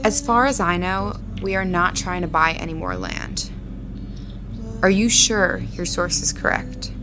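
Audio drama role: guarded, questioning woman, lowish voice, music underneath. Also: expressive, a little slow. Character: guarded, challenging woman, loyal daughter.

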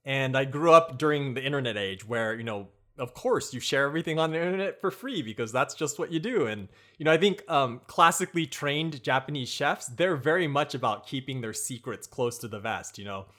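A man's voice: medium pitch, lively intonation, brisk, few pauses, pushed and bright. A man, a guest on a podcast, giving long, thoughtful answers about cooking in a casual chat. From United States. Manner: thoughtful, rambling explanations. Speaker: guest, Japanese cooking teacher.

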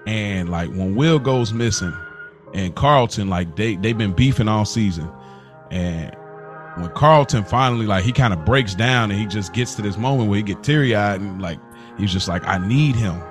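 American man, lowish voice, slangy casual talk. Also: normal speed, soft background music. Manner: informal, slangy, frequent "bro" and "like". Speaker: podcast co-host. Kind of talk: casual conversational chat.

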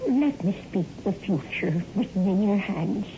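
A woman's voice: medium pitch, lively intonation, dark tone. An actress playing an old fortune teller, a humble yet foreboding grandmother, speaking portentously in a 1960s radio drama. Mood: mysterious, portentous. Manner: slow, portentous. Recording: dull, noisy old radio recording.

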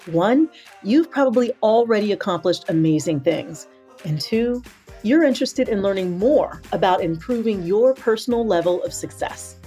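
A woman's voice: medium pitch, lively intonation, moderate pace, dark tone, soft background music. A woman hosting a motivational podcast as a personal coach, talking in a candid, earnest and encouraging way, slightly stuffy from sinus pressure.

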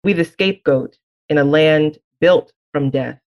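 A woman poet from Alabama with no Southern accent, reading her poem slowly and deliberately, then answering questions in a frank, reflective way. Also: deep voice, a little mellow. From Birmingham, Alabama. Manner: measured, deliberate reading with slow emphatic phrasing; reflective, frank conversation with light laughs. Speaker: poet and guest. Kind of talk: poetry reading and interview answers.

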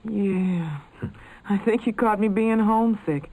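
An actress playing an irritable, homesick American wife in a domestic radio spot, with an expressive delivery. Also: female voice, medium pitch, unhurried, low-quality recording. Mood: softening.

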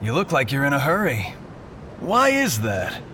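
An actor playing a suspicious, self-righteous police detective, confrontational and needling, in a science-fiction audio drama. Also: male voice, mid-range voice, huge pitch swings, a little slow, sharp tone, slight background noise, heavily acted. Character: pushy, zealous male street cop, suspicious detective.

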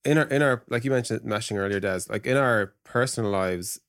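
A man's voice: on the low side, fairly quick, fairly bright tone, dry recording, clean studio sound. A man speaking as a guest in a casual podcast discussion about tech. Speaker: podcast guest, product executive.

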